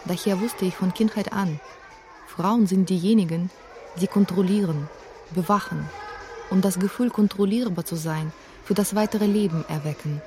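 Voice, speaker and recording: A woman with a fairly low voice narrates a radio feature. She reads scripted, literary documentary text as its author. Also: moderate pace, background noise. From former Soviet Union.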